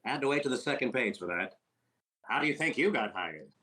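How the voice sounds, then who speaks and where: An actor playing a wisecracking, fast-talking middle-aged comedian in an old-time radio comedy recreation. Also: male voice, medium pitch, lively intonation, pushed and bright, poor audio. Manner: quick wisecracks, sly teasing delivery.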